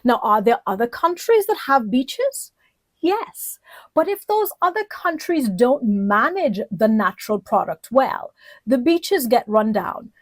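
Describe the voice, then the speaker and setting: A woman from the Bahamas giving an instructional lecture in a deliberate, emphatic way, often posing rhetorical questions. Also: on the high side, sing-song, dry recording. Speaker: political leadership lecturer.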